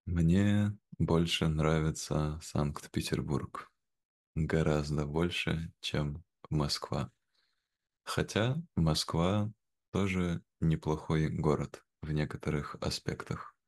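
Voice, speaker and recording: A young man speaking in a careful, measured way in a simplified conversation for learners, on a video call from home. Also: a bass voice, darkish tone. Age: young adult. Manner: measured, careful, deliberate phrasing. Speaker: guest, language teacher. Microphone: video call, wearing headphones.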